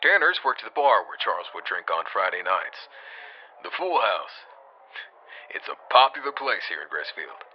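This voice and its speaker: A serious police detective, with a deep male voice. He answers interview questions in a guarded, deliberate, matter-of-fact manner for an audio drama, his voice dulled as if over a radio or call link. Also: sing-song, a little hiss or hum. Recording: dull, band-limited call sound.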